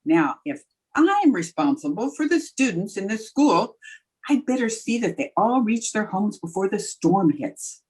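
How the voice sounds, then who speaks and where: Woman playing a wry, animated schoolteacher, slightly husky. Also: mid-range voice, measured pace, poor audio. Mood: brisk, commanding. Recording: remote video-call recording.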